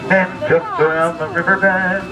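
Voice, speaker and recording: High-voiced male boat ride guide, distant. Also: level tone, muffled recording, crowd noise. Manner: theatrical, drawn-out emphasis on key words. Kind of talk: scripted storytelling tour narration. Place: outdoors aboard a tour boat. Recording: distant amateur recording with loud background music. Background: music and ride ambience.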